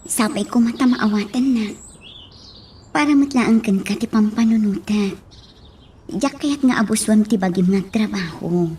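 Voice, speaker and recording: A woman playing a gentle, caring young village woman in a radio drama, with an Ilocano accent. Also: medium pitch, moderate pace, pauses often, a little hiss or hum, lightly acted. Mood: tender, earnest.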